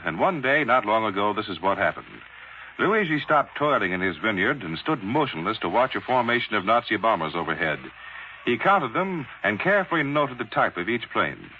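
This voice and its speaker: An American man announcing a 1940s radio advertisement as dramatic scripted narration, with emphatic, clipped phrasing. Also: mid-range voice. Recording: tinny, faintly noisy 1940s radio.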